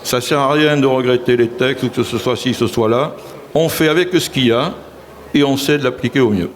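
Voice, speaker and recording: A man's voice: medium pitch, a little fast. A man, a local elected official, speaking assertively in a public panel talk and often saying "si vous voulez".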